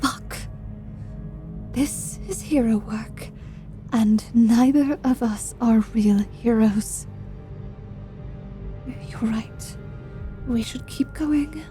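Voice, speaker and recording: A woman acts out a reluctant hero in a fantasy ASMR roleplay, a wry, foul-mouthed female adventurer. She speaks very slowly and intimately, with a mellow tone and faint music underneath. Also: a little high. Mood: hushed dismay.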